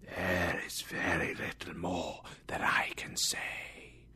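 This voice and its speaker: A man's voice: sits low, level tone, husky, voice pushed down, full character voice. Man whispering as a mournful chained ghost. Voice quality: whispery, hushed. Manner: slow, grave. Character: ghost of a dead old businessman in chains, mournful.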